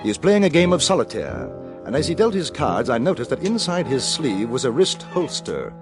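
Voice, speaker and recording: An English-accented man, courteous and polished, narrating and playing the lead in an old radio western. His delivery is lively, and faint music plays under him. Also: mid-range voice, moderate pace. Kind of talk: 1950s radio drama.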